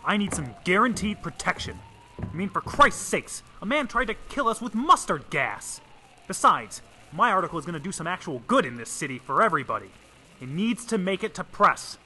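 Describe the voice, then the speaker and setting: An earnest, insistent reporter in a radio play. He pleads urgently and emphatically in a sharp, fairly high voice over faint noise. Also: big pitch swings, moderate pace, heavily acted. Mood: urgent, indignant. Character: earnest, insistent male newspaper reporter in danger. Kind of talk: old-time radio drama acting.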